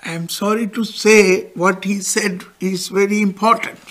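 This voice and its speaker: Older man, high voice, slow deliberate catechism teacher, studio lapel mic. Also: steady tone, a bit bright. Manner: gestures broadly while explaining, pauses for interpreter. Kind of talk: catechism lesson with interpreter. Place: studio with backdrop.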